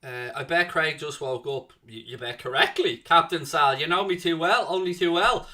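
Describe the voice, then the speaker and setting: A man's voice: on the high side, normal speed, sharp tone. A young man with a South Dublin Irish accent hosting a football watchalong stream, chatting casually and answering viewers' comments. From Ireland. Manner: chatty, jokey, answers chat with "mate" and "man".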